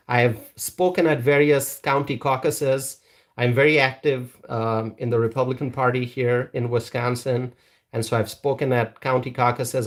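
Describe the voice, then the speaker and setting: Earnest man chatting to viewers. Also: medium pitch. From Bangladesh. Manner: earnest, repeats words, many ums and uhs. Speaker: YouTube channel host. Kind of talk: casual livestream chat reading viewer comments. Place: Wisconsin.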